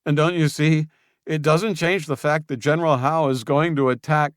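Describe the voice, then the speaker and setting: A cornered young traitor, performed by a man, talks fast and defensively, his voice pushed well above the reader's own deep register and louder. He pleads and snaps in the same breath, in a dry, close storybook reading. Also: steady tone, no echo, close to an everyday voice. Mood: defensive, pleading.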